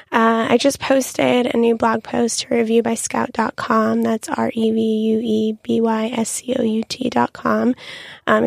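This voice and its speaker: A young woman hosting a podcast in a casual, chatty monologue, her voice raspy and full of ums. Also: on the high side, darkish tone, no room sound, studio quality. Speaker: podcast host.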